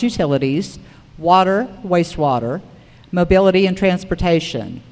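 A woman's voice: lowish voice, darkish tone. A woman moderating a public forum, reading prepared material aloud to the room. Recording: reverberant hall recording.

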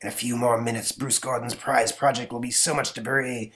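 A man voicing a gloating male supervillain in a comic book read-aloud, low and calm. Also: a little fast, lightly acted.

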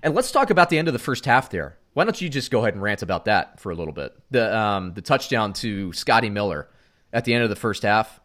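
A middle-aged man from Central Florida co-hosting a casual sports podcast, animated, going from loud outbursts to muttered asides. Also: on the low side, fast talker. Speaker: podcast co-host.

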